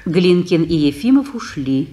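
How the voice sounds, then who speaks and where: A woman narrating the stage directions of a 1950s radio play, brisk and matter-of-fact. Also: on the low side, big pitch swings, mellow, slightly rough.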